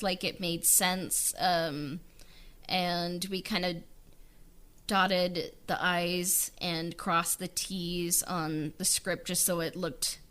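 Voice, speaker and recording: A woman's voice: lowish voice, a bit bright. A woman chatting casually on a podcast as a writer-director, informal and hesitant in the way she talks. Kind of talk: casual interview chat.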